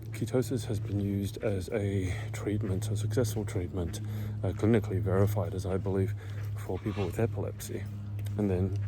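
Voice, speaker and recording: A man's voice: deep voice, background noise. A middle-aged man whispering and out of breath as he walks uphill outside, talking through a casual vlog. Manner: whispering, hushed. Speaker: vlogger. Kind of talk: casual walking vlog monologue. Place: outdoors, walking uphill in a quiet neighborhood.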